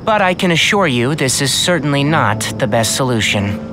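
An actor voicing a caring, wry young scholar in a video game, articulate and gently chiding. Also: male voice, mid-range voice, very animated, normal speed, music in the background, natural voice. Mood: wry, concerned. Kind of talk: English game voice acting.

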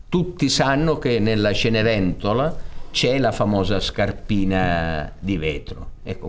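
A man, explaining a story like an informal lecturer, pausing to search for words. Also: fairly low pitch. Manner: halting, searching for words, explanatory with emphatic swings. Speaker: theatre director presenting a radio fairy-tale programme. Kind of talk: informal spoken introduction to a fairy tale, storytelling lecture. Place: indoors on a theatre stage.

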